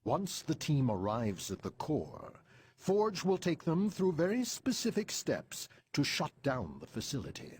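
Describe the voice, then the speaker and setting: An actor playing an earnest, authoritative hero team leader in a video game, briefing formally on a mission. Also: male voice, fairly high pitch, huge pitch swings, measured pace, a little hiss or hum.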